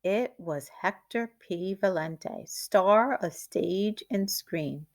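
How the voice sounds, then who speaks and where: A woman reading a children's picture book aloud like a teacher, in a deliberate, expressive storytelling way. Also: mid-range voice.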